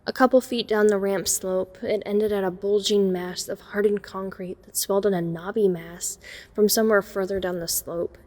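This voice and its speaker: A woman's voice: medium pitch, steady tone, moderate pace, darkish tone, close to an everyday voice. A woman playing a watchful young woman who narrates a night break-in in a scripted audio drama. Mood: measured, suspenseful. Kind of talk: scripted first-person narration.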